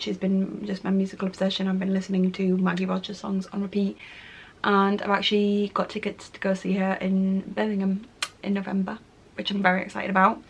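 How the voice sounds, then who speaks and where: A woman, chatting casually to camera about her monthly favourites, her voice croaky and nasal from a cold, gushing and repeating "really, really". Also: medium pitch, fairly bright tone, a little hiss or hum. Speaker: lifestyle vlogger.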